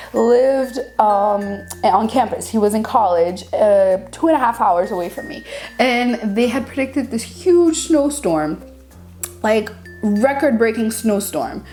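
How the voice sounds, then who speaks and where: A woman's voice: medium pitch, darkish tone. A young woman from Haiti whose first languages are French and Creole, chatting casually and informally to viewers as a channel host. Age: young adult. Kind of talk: casual chat to camera, answering viewer questions.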